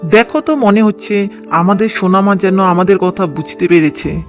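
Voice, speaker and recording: A man voicing a gentle, earnest village husband in a cartoon, with faint music behind. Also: high-pitched, moderate pace, close to an everyday voice. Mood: tender. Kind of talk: cartoon dub acting.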